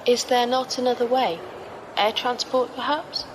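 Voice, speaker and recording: A woman's voice: a little high, fairly even intonation, a little slow, noisy background, natural voice. A woman playing a reserved, formal squire in a sci-fi audio drama, speaking with precise, careful wording. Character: formal, reserved female squire, dryly observant.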